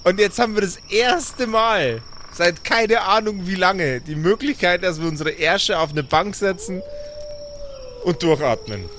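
Dude playing pen and paper, highish voice, slangy sweary emphatic chat, bit of background noise. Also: male voice, measured pace, fairly bright tone. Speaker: tabletop role-play player. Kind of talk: improvised in-character casual dialogue.

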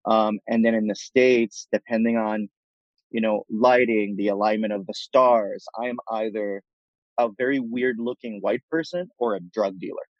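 A man from Puerto Rico speaking as a thoughtful podcast guest, laying out his ideas in articulate, measured phrases. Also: on the low side, fairly even intonation, no room sound, highs cut off. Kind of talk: reflective interview conversation.

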